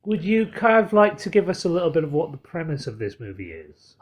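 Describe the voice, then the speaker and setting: Podcast host, casual discussion, measured and a bit wordy, mid-pitched voice. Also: sing-song.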